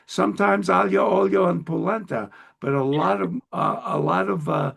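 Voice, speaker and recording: A man in his seventies hosting a casual interview, talking in a rambling, halting and self-deprecating way. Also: sits high, gravelly, a bit bright, slightly rough. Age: 70s. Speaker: host interviewer.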